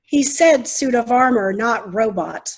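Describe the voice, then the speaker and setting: A woman playing a critical, pedantic, dryly scornful character in readers theater, pointed and deliberate. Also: a little high, expressive.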